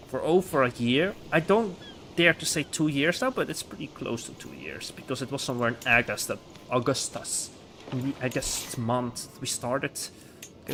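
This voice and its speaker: A man's voice: mid-range voice, fairly bright tone, slight background noise. A man streaming a strategy game live, chatting casually in a rambling way, laughing often and restarting his words. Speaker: livestreamer.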